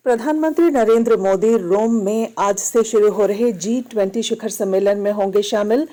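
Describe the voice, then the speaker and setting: A woman reading a scripted regional radio news bulletin in a formal, measured manner. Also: medium pitch, mellow, poor audio. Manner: formal, measured delivery of announcements. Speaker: news reader.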